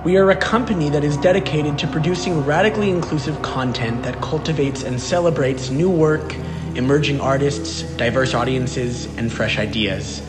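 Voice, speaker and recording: A man reading a scripted closing announcement and credits as the announcer for an audio play series. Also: on the high side. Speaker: announcer for a theatre company.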